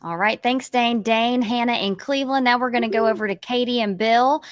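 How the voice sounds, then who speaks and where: A woman's voice: on the high side, brisk, over a noisy crowd. A woman presenting a live local TV news segment as the anchor.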